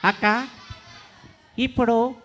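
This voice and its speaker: A woman's voice: fairly high pitch, steady tone, very slow, noisy background. A woman voicing an eager, food-loving little boy in a children's puppet show, with a childlike manner. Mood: pleading.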